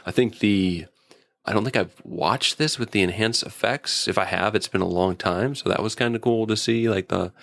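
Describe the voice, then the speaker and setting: A man's voice: on the low side, normal speed, gravelly, fairly bright tone, no echo. A man chatting casually as a guest reviewer, with an informal, enthusiastic fan's way of talking. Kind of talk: casual episode review chat.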